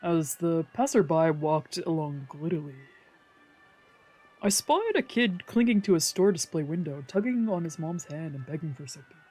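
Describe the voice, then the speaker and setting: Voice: medium pitch, animated, normal speed, a little mellow, slightly rough, poor audio. A streamer reading a visual novel's narration aloud, occasionally stumbling over a word. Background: background music from a video game.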